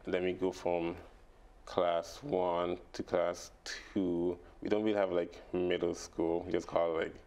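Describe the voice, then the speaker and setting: Brief: young man, very low and gravelly voice, halting and laughing as a radio guest. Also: level tone, a little mellow. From British Virgin Islands. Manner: halting, laughs often, many fillers. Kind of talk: casual radio interview. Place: radio studio.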